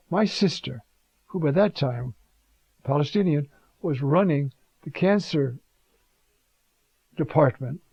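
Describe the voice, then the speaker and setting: A man's voice: a little high, dry recording, lo-fi sound. A man who is a priest, preaching on the Sunday readings in a conversational way, often adding "you see" and "uh" between thoughts.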